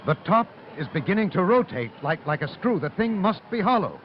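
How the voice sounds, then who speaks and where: Frightened radio newsman, high urgent delivery, old-time eyewitness style, dull noisy recording. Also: very animated, a little fast. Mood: tense excitement. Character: terrified on-the-spot radio reporter witnessing an invasion. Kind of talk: 1930s radio eyewitness commentary acting. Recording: muffled old broadcast, outdoor noise.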